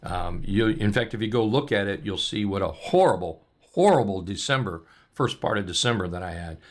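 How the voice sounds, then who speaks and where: A man coaching on trading, talking loosely and upbeat with many ums and restarts as he walks through charts, his voice going hoarse. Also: on the low side, animated, brisk. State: losing his voice. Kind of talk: casual chart walkthrough.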